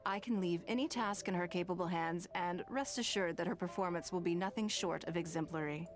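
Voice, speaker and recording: An actress voicing a composed, shrewd noblewoman in command in a video game, measured, eloquent and formal. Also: on the low side, music in the background, close to an everyday voice. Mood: assured.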